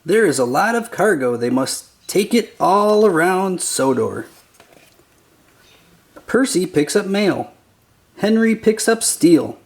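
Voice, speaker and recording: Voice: mid-range voice, big pitch swings, takes it slowly, pauses often, a little mellow. A narrator reading a children's picture book aloud in short, simple storytelling phrases.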